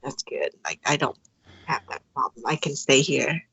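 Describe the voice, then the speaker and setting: A woman's voice: a bass voice, very animated, moderate pace, fairly bright tone, breathy edge, no room sound. A woman from Thailand with a Thai accent, whose first language is Thai, chatting as a podcast guest in a hesitant way with many fillers and restarts and frequent laughs. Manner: laughs often between phrases. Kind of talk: casual interview conversation.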